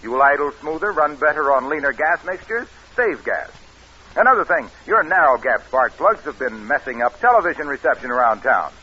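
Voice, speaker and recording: A man playing a blustery, boastful, husky yarn-spinning pitchman in an old radio commercial sketch. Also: animated, brisk, bright, forceful tone, exaggerated voice. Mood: emphatic selling. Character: blustery, boastful male yarn-spinner selling spark plugs. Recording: muffled old radio recording.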